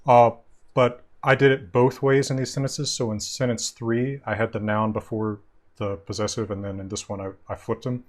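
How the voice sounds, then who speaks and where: A man hosting a casual video call, patiently explaining grammar and reading old sentences aloud slowly. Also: medium pitch, little pitch movement. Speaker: host.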